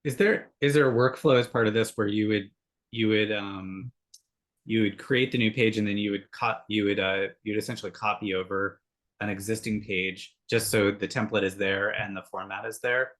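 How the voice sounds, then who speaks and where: A man's voice: lowish voice, steady tone, moderate pace, a bit bright. A man on a work video call, curious and often restarting his questions. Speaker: colleague asking questions. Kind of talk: casual work meeting.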